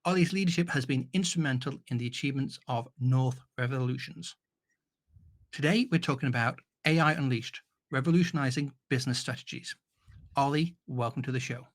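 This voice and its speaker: A man's voice: mid-range voice, a little fast. A man hosting an interview podcast, introducing his guest and asking questions. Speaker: show host and interviewer.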